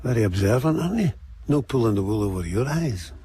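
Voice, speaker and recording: A sardonic Scottish man of middle age, played by a male actor, needles and banters in a radio play. His voice is mellow and very animated in pitch, with faint noise behind it. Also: fast talker, lightly acted. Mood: sardonic, cocky. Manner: sarcastic, cocky, bantering. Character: sardonic older Scottish man, embodiment of the unconscious mind. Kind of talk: radio drama acting.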